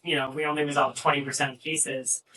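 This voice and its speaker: A man answering as a panelist at a live fireside chat, explaining in a halting way, picked up by a distant mic. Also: fairly high pitch, level tone, sharp tone. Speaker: panelist, AI agent engineer. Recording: distant mic, poor event audio.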